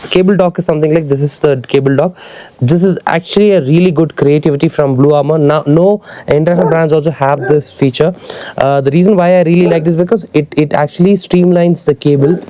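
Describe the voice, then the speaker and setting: A man's voice: a little high, warm tone. A man with an Indian accent giving a casual, conversational product review, addressing his viewers directly as a vlogger. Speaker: motorcycle gear reviewer and vlogger.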